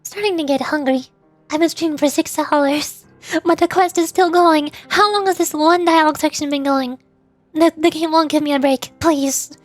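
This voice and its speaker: A woman streamer with a high voice chats casually while she plays a game. She talks in a hesitant, self-deprecating way, often halting mid-thought. Game music plays softly behind her. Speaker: livestreamer, VTuber voice actor. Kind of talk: casual livestream chat while playing a game.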